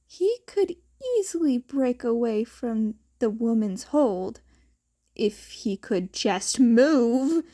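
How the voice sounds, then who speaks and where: A woman's voice: on the high side, animated, slow pace, mellow. A woman reading a scripted fan fiction story aloud as its narrator.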